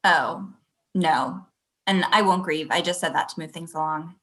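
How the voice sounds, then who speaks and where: A woman playing a curt, fed-up young girlfriend ending a relationship, deadpan, in a table read over a video call. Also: lowish voice, unhurried. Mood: curt, weary. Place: indoor room on a video call.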